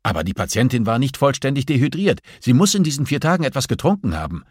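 A man acts out a forceful male doctor in an audiobook thriller, pressing with clipped commands and questions. The voice is raised and husky, urgent and impatient, in a very dry recording. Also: mid-range voice, very animated, quick pace, no echo, pitched above the performer's own voice. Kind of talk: audiobook dialogue acting.

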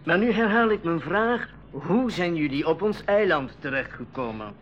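A man playing a welcoming, courteous islander in a 1980s educational radio play, speaking deliberately. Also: a little high, animated, close to an everyday voice. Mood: courteous, inquiring. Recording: slightly dull, noisy 1980s radio recording.

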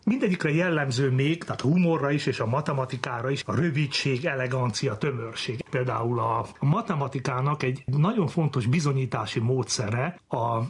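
A man's voice: mid-range voice, hardly any pauses, slight background noise. A man, a mathematics professor, explaining his ideas as a guest in an interview.

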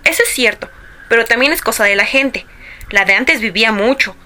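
A woman's voice: on the high side, expressive, a little fast, bright, forceful tone. An actress with a Mexican accent playing a bashful, chatty, peace-making older woman in a radio drama. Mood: reminiscing. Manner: timid, coy, placating.

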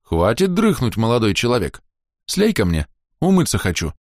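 A man voicing a bossy, teasing male travel companion in an audiobook, brisk and jocular. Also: mid-range voice, huge pitch swings, no echo, clean studio sound, pitched above the performer's own voice.

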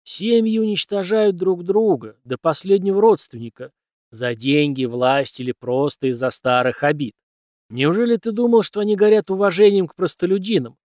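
A man's voice: high-pitched, moderate pace, darkish tone, no echo, clean studio sound, pitched above the performer's own voice, natural voice. A man voicing a stern, cynical, commanding mentor in an audiobook, lecturing emphatically with rhetorical questions. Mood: scornful, forceful. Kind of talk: audiobook character dialogue.

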